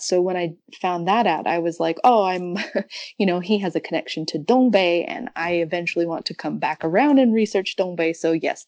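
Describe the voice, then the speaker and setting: A woman's voice: fairly low pitch, darkish tone, no echo. A woman speaking as a podcast guest and researcher, explaining things casually and thinking aloud in an informal way.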